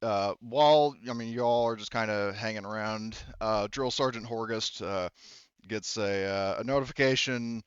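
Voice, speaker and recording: A man's voice: fairly low pitch, normal speed, clean tone, dry recording. Male tabletop game master, loose informal delivery, clean resonant voice, dry and a little muffled. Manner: informal, loosely phrased, profane. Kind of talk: narrating a tabletop role-playing game, casual.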